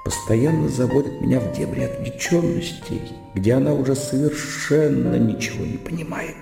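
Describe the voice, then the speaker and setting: An educated young gentleman brooding over his own thoughts in a radio play. His low, gravelly voice reasons in bookish, self-questioning phrases. It rings in a long hall reverb with music underneath. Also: moderate pace. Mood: frustrated, questioning. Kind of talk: radio drama acting, interior monologue.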